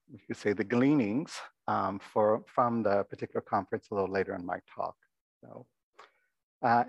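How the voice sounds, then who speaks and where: Soft-spoken man with a gravelly low voice. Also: no room sound, low-quality recording. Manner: reflective, halting, many fillers. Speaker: abbot giving a dharma talk. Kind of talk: dharma talk. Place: meditation hall.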